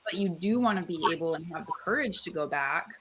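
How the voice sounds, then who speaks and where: A woman's voice: fairly low pitch, expressive, brisk. A woman from Canada, a guest author, talking reflectively in a casual interview, with lots of "like" and "um" fillers. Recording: faint background noise.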